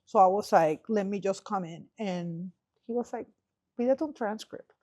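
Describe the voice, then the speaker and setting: A woman in her fifties tells her own story in a Puerto Rican accent, at a medium pitch. She slips easily between English and Spanish, as a native Spanish speaker does, and voices each side of remembered exchanges. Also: normal speed, mellow. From Puerto Rico. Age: 50s. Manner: switches freely between English and Spanish, acts out quoted dialogue. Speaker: podcast guest, film festival director. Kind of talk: conversational personal storytelling.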